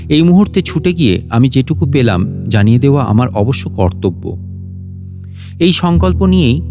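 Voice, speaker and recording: Higher-pitched male storyteller over guitar music. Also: darkish tone. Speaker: story narrator. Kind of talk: dramatic audio story narration in first person. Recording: plucked guitar music behind the voice. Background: guitar background music.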